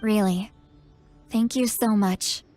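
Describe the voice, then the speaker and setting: A woman's voice: mid-range voice, fairly even intonation, takes it slowly, dark tone, close to an everyday voice. A woman playing an earnest, hopeful young woman in a fantasy video game, speaking sincerely. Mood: grateful. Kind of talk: scripted video game voice acting. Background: background music.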